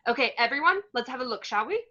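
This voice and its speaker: A woman plays a brisk, encouraging coach with a bright, highish voice, like a drama teacher. The recording is slightly dull and lo-fi. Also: expressive, slight background noise. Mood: brisk, instructive. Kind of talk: amateur table read of a film script. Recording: dull lo-fi home microphone.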